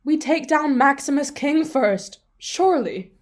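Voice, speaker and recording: A woman's voice: sits high, animated, measured pace, crowd noise. A woman playing a confident, plucky teenage daughter of a superhero in a radio play, with music underneath. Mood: plucky, impatient.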